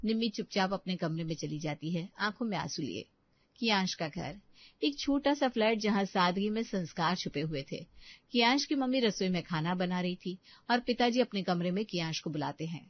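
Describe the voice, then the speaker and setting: A woman's voice: lowish voice, animated, moderate pace, no room sound, highs cut off. A woman giving scripted narration as a storyteller.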